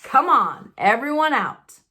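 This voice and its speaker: A woman voicing a cheerful, bossy middle-aged uncle in a loud, commanding storybook read-aloud. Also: high voice, big pitch swings, takes it slowly, voice pushed up, full character voice. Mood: gleeful, commanding. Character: gleeful, bossy middle-aged uncle herding his family along.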